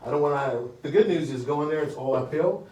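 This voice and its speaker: A man's voice: a little high, fairly quick, distant mic, a crowd in the background. A man leading a live sales coaching seminar in a meeting room, chatty and joking with the audience, often checking in with "okay?". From United States. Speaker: real estate sales trainer. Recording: echoey room, recorded from afar, faint hiss.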